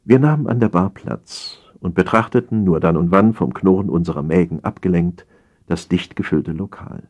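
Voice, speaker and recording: Male audiobook narrator for comic satire: lowish, rough-edged husky voice, theatrical delivery. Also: moderate pace, darkish tone. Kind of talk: scripted humorous narration.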